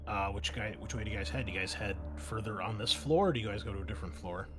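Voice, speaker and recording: A man's voice: fairly low pitch, quick pace, over music. A man working as game master, explaining a tabletop roleplaying scenario in an improvised, explanatory way.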